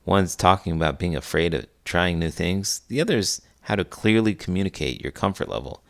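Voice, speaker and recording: A man's voice: sits low, animated, normal speed, creaky. A man hosting a children's read-aloud, explaining things in a chatty, educational teacher's way. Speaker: host, elementary school teacher. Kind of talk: friendly educational chat for children.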